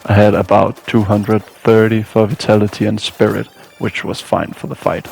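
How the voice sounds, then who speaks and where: A man's voice: fairly low pitch, flat delivery, moderate pace, vocal fry, darkish tone, poor audio. A man narrating a casual video game walkthrough as a scripted voice-over, with dry, weary asides. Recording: music behind the voice. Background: game music.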